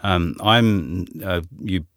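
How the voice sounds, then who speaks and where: A man's voice: low-pitched, extremely slow, fairly bright tone, no echo, clean studio sound. A man in his fifties with a New Zealand accent, talking in a podcast conversation with many fillers and restarts. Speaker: head of asset management.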